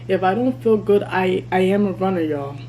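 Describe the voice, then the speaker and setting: Woman in her thirties, vlogging over a meal, mellow voice, faint hum behind. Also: medium pitch, normal speed, poor audio. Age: 30s. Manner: candid, chatty, eating while talking. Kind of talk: casual mukbang vlog chat. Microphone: camera mic.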